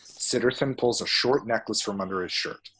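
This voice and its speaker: Male narrator, low and husky, reading stage directions at a script table read. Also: moderate pace, fairly bright tone, poor audio. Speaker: reader of action descriptions at a table read. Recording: home recording.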